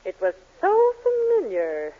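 A woman's voice: very high-pitched, huge pitch swings, a little slow, heavily acted. A woman playing a haughty, indignant middle-aged matron in a 1940s radio sitcom, with theatrical, affronted exclamations. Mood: suspicious, dismayed. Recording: tinny narrow-band 1940s radio recording.

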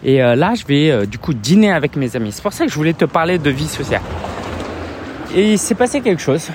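A man's voice: a little high, very animated, a little fast, a little hiss or hum. A man in his thirties from France with a French accent, talking casually to camera as a coach, hesitant and chatty with lots of fillers. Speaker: business coach. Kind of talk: casual vlog monologue.

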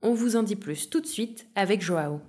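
A woman hosting a podcast, reading a clear, upbeat intro and then asking the guest questions. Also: medium pitch, expressive, normal speed. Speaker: podcast host. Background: music.

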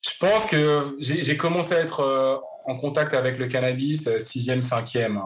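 A man's voice: mid-range voice, steady tone, moderate pace. A man in his forties from Paris, telling his story as a podcast guest with frequent hesitations and restarts. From Paris, France. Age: 40s. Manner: hesitant, many fillers and restarts. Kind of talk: interview, personal story.

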